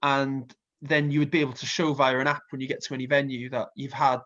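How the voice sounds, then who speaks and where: A man's voice: medium pitch, flat delivery, fast talker, fairly bright tone, dull audio. A man with a Welsh accent and a slight cold, chatting casually and rambling with plenty of fillers as a festival booker being interviewed. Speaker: festival co-founder and music booker.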